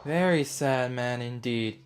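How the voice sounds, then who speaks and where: A man's voice: medium pitch, takes it slowly, very noisy. A man giving casual, slangy live commentary as he streams a game, reacting aloud. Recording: game music behind the voice.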